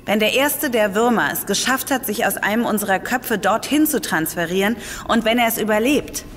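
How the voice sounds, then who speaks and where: An actress playing a serious team member in a German audio drama, speaking matter-of-factly. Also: female voice, medium pitch, animated, fairly quick, fairly bright tone, big-room sound, slight background noise, lightly acted.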